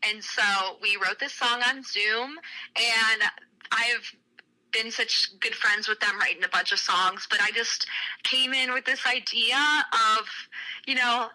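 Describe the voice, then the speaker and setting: A woman's voice: a little high, fairly even intonation, unhurried, sharp tone, rough recording. A woman in her twenties from Cleveland, Ohio, a singer-songwriter talking in a bubbly, effusive way with lots of fillers in a casual radio interview. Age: 20s.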